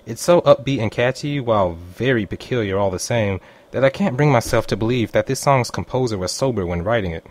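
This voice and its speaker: A man's voice: medium pitch, lively intonation, brisk, slight background noise. A man reading a scripted review as an online critic, in voice-over. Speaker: anime reviewer.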